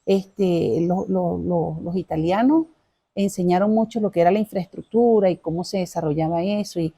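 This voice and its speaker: Mellow-voiced woman with a Caracas accent. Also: lowish voice, normal speed, breathy edge. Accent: Caracas Venezuelan. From Caracas, Venezuela. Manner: chatty, courteous, repeats phrases. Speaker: interview guest. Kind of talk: informal life-story telling.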